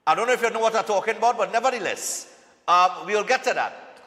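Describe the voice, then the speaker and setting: A man's voice: sits high, animated, quick pace, bright, forceful tone, long reverb tail. A man hosting a live morning TV show, chatty and repetitive, often checking in with "all right?".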